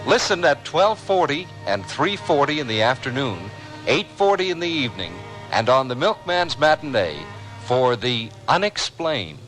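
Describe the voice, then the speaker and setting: A male radio host reads a scripted show promo over faint music. His voice is medium-pitched with lively, varied intonation. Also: moderate pace, poor audio.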